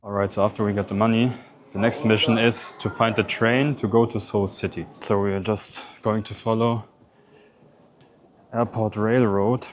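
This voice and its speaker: A man's voice: on the low side, darkish tone, slight background noise. A man whose first language is German, narrating a casual travel vlog and explaining each step as he goes. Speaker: travel vlogger. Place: airport and busy city street.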